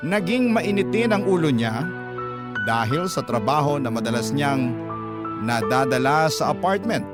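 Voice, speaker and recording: A man narrating a love-letter confession for a radio drama, measured and earnest. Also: medium pitch, lively intonation, natural voice. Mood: troubled. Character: letter writer recounting a troubled live-in romance. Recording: loud music bed behind.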